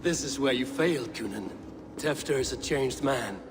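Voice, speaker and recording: An actor playing a stern, vengeful Viking warrior in a video game, blunt, commanding and intense. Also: male voice, mid-range voice, expressive, normal speed, natural voice. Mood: firm. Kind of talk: dramatic video game acting. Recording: long reverb, music behind.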